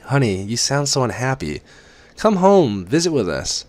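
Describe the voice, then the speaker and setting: A man's voice: mid-range voice, very animated, moderate pace, a little mellow, voice pushed up, lightly acted. Guy doing a gushy worried mom voice, sing-songy, goes way up and down. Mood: coaxing. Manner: fussy, affectionate, lilting. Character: doting chatty middle-aged mother.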